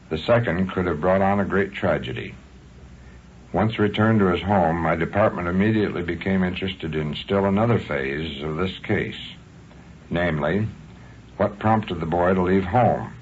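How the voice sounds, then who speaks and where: A deep-voiced American man reads an official report as police chief. His delivery is formal and measured. It sounds like a dull 1950s radio recording with light noise.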